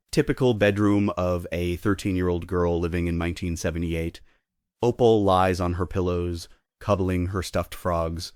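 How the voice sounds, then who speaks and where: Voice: sits low, a little mellow, dry recording, clean studio sound. A narrator reading scripted stage directions at a theatre table read.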